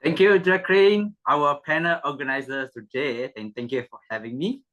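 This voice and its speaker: A man, a non-native English speaker, giving a slow, halting panel talk at a club meeting and sometimes repeating himself. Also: a little high, a bit bright, muffled recording. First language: not English.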